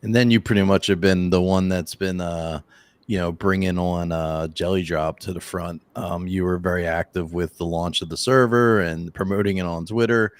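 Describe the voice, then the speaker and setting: Hoarse male host, very low voice, chatty and upbeat. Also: steady tone, moderate pace, no echo. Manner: enthusiastic, talkative, praising. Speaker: podcast host. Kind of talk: casual interview.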